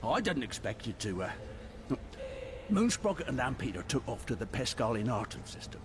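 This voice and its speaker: This is a man acting a brash, shifty bar owner in a sci-fi game. He bargains and wheedles with animated intonation at a middle pitch. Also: normal speed, noisy background, close to an everyday voice. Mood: surprised, relieved. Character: shifty, bargaining male space barkeeper in debt.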